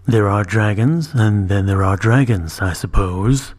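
Wise old dragon-knight, deep and unhurried, calm and solemn, read by a male narrator. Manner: slow, measured, solemn.

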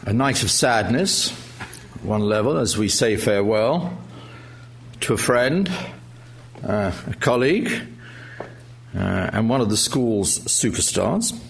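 A man's voice: fairly low pitch, lively intonation, takes it slowly, creaky, long pauses. Hesitant man chairing a lecture. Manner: hesitant, frequent uh fillers, wry humor. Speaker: event chair introducing a speaker. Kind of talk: formal introduction speech with jokes. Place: lecture hall with audience.

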